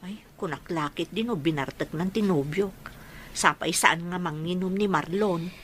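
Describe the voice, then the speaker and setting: An actress playing a sensible, matter-of-fact young working daughter in a radio drama, with an Ilocano accent. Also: female voice, lowish voice, moderate pace, a little hiss or hum, lightly acted. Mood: questioning. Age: young adult.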